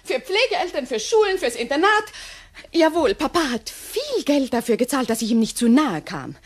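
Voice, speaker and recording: An actress in a radio play playing a bitter, defiant young stepdaughter. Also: female voice, high voice, very animated, moderate pace. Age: young adult.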